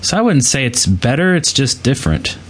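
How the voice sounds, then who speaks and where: A man with a middle-pitched voice co-hosts a gaming podcast. His delivery is casual and conversational, like relaxed chat between regulars. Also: brisk. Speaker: podcast co-host.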